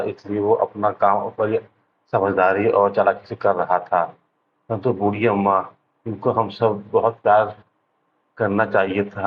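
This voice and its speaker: A man playing a calm, earnest village headman who addresses villagers in a measured, moralizing way in a children's cartoon. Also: on the low side, narrow, tinny audio, a little hiss or hum, lightly acted. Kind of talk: Hindi children's cartoon dialogue, speech to villagers.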